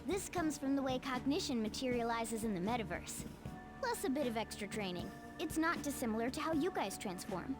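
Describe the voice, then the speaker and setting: A woman's voice: a little high, lively intonation, fairly quick, close to an everyday voice. A woman voicing a cocky, know-it-all talking cat guide in an anime video game. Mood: smug, explaining. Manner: explanatory, self-assured, bossy. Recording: game music behind the voice.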